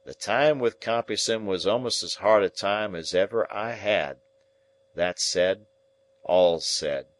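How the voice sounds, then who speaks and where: A man voicing a rough old convict who recounts his hard past in broken, ungrammatical speech. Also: fairly low pitch, unhurried, close to an everyday voice. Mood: grim, confiding.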